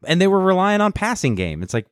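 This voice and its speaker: A relaxed man chats about sports with friends, his voice rising and falling a lot. He swears casually. The recording is dry and close. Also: sits high, quick pace, no room sound. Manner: laid-back, profane, chuckling. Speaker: podcast co-host. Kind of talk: casual chat.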